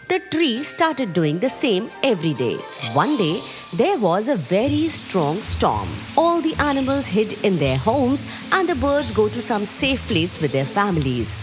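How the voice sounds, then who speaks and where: A woman narrates a children's cartoon story. Her reading is plain and steady, with a lively rise and fall. Music plays under the narration. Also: medium pitch.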